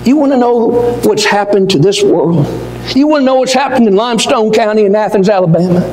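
An older man preaching a sermon from a pulpit, swinging from near-shouts down to low, slow words. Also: lively intonation, a little hiss or hum. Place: church auditorium. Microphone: pulpit mic, wide far camera. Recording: echoey hall with long reverb.

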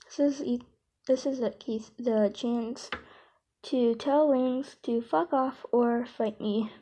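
Woman voicing a slow, flustered hot-headed young man. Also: on the high side, mellow. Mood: tense, flustered. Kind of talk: amateur comic dub.

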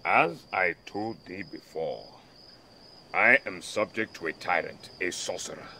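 Man playing a deep, grovelling monster slave. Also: expressive, unhurried, sharp tone, a little hiss or hum, full character voice. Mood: servile, resentful. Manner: grovelling, pleading. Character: servile, resentful half-fish monster slave. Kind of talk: Shakespearean stage acting.

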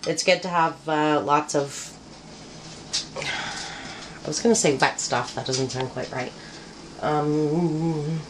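Middle-aged lady rambling thru a recipe in her kitchen, lowish voice, pans clattering. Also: steady tone, takes it slowly, long pauses, noisy background. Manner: chatty and joking, rambling with fillers. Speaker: home cook demonstrating a recipe. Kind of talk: casual cooking demo. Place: home kitchen indoors. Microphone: handheld camera mic nearby. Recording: home video with kitchen clatter. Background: pans and cutlery clatter.

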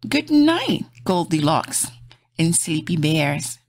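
A woman reading aloud to young children as a storybook host, in a gentle, encouraging, sing-song manner. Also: low voice, big pitch swings, slow, no echo, studio quality.

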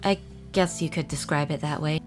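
A woman voicing a melancholy, guarded young female alien water gem in a cartoon dub, wistful and halting. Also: low-pitched, fairly even intonation, soft background music, natural voice. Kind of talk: dramatic cartoon comic dub.

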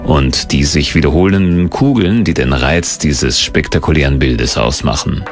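A man's voice: rumbling low, moderate pace, over music. A man reading scripted narration for a documentary.

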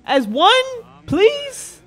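High-voiced young man, slangy streamer banter. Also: huge pitch swings, extremely slow, sharp tone. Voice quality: slangy, informal speech. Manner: playful, bantering with chat. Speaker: livestreamer. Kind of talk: casual reaction stream commentary. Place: indoor room at a streaming setup. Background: faint background music.

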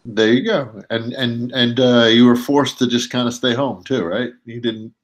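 Male co-host, relaxed and supportive, calling in from afar. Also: mid-range voice, moderate pace. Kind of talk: remote call-in chat.

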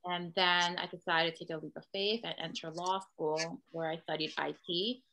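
A woman's voice: fairly low pitch, steady tone, normal speed, bright, forceful tone, a little hiss or hum, poor audio. A young woman lawyer from New York City introducing herself carefully on a video call panel.

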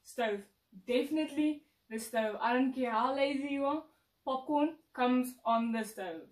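A woman's voice: high-pitched, unhurried, a little mellow. A young woman in her late teens with a South African accent, answering questions in a playful, emphatic way. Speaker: co-presenter being interviewed. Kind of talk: casual interview chat. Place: indoor lounge set, seated on couch. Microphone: far camera mic. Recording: roomy echo, distant mic.

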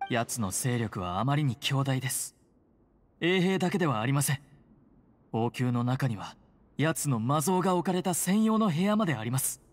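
An actor playing a polite, earnest prison guard who secretly helps heroes, speaking formally and solemnly in a game. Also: male voice, medium pitch, big pitch swings, moderate pace. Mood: grave, urgent. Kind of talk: Japanese game voice acting. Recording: long reverberant hall.

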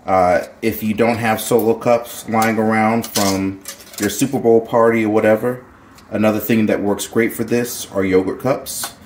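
A middle-aged man hosting a gardening channel, giving a relaxed, chatty how-to demonstration with jokey asides in a home kitchen. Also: on the low side, moderate pace, a little hiss or hum.

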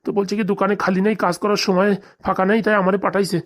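A cartoon actor plays an anxious father near retirement with a rural Bengali accent. He talks extremely fast, rambling on in an aggrieved, lamenting way, like a man forever complaining in a village comedy. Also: medium pitch, little pitch movement, lightly acted. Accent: Bengali, rural dialect. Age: middle-aged. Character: anxious, aggrieved father nearing retirement, fretting over lost money.

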